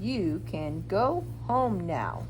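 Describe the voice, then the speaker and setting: An emphatic female schoolteacher from a children's storybook, played by a woman reading aloud. She is firm yet kind, exclaiming to her pupils over clear background noise. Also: mid-range voice, lively intonation, very slow. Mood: encouraging. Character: stern but kind female schoolteacher scolding and praising. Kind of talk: picture-book read-aloud for young children. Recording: clearly noisy room recording.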